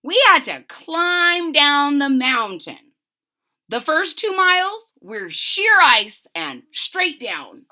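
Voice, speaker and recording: A woman's voice: high-pitched, sing-song, takes it slowly, bright, forceful tone, pitched above the performer's own voice, full character voice. A woman reading the lines of a cheerful, adventurous old grandfather in a picture book, in a hearty storytelling manner. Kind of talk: read-aloud storybook voice.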